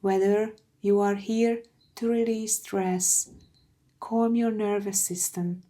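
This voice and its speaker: A woman guiding a meditation from a script, soothing and hushed, with phrases that trail into breathiness. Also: medium pitch, steady tone, takes it slowly. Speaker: meditation guide. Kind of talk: slow guided meditation, scripted.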